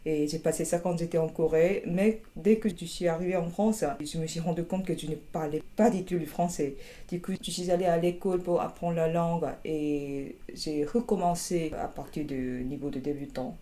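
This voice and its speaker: Young Korean woman, Korean accent, deep mellow tone, hesitant and wry interviewee. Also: fairly even intonation, moderate pace. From South Korea. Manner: hesitant, many fillers and restarts, wry humor. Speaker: interviewee, master's student. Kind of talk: interview answers.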